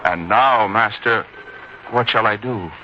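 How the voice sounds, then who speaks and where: A man playing a kindly, reverent disciple, earnest and deliberate, in an old-time radio drama. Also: on the low side, music in the background. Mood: awed. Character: kindly, reverent male disciple, gently authoritative. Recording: dull lo-fi radio sound, faint noise.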